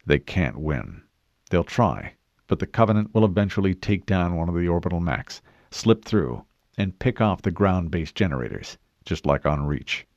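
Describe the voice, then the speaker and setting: A man voicing a calm, stoic military commander in an audiobook, with a terse, measured and authoritative delivery. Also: low voice, dry recording, lo-fi sound. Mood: grave. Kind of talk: audiobook character voice.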